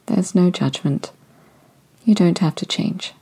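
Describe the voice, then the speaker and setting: A woman leads a guided meditation in a soft, soothing manner, often sinking into a whisper. Her voice sits low, with a mellow, dark tone and a breathy edge. She takes her time, speaking very slowly. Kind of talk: guided body-scan meditation.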